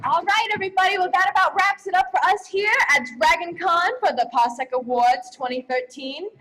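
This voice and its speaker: A woman's voice: extremely high pitch, a little fast, fairly bright tone, a little hiss or hum, rough recording. A woman hosting a live awards show from a convention stage. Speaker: awards host closing the show.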